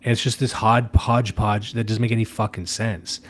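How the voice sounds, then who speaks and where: Low-voiced sardonic man. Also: flat delivery, normal speed. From Canada. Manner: sardonic, rambling, swears casually. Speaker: podcast co-host. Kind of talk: casual livestream chat.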